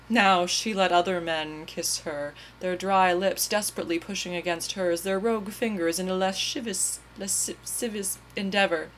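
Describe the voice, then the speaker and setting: A woman performs a dramatic reading with theatrical, mock-tragic emphasis. Her voice sits at an ordinary middle pitch for a woman. It is an amateur recording with some noise behind her. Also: fairly bright tone, rough recording. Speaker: reader. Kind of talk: melodramatic dramatic reading of overwrought prose.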